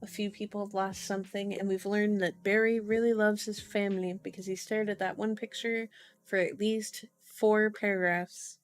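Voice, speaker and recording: A woman with a medium-pitched voice hosts an ASMR reading. She moves between reading and casual chat in a playful manner, sometimes repeating words for fun. Music plays behind her voice. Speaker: ASMR host reading a novel aloud.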